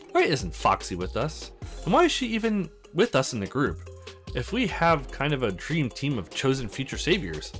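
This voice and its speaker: Man with swooping, highly animated pitch. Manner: acts out characters' lines with exclamations. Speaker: let's play host. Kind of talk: reading visual novel dialogue aloud with casual commentary.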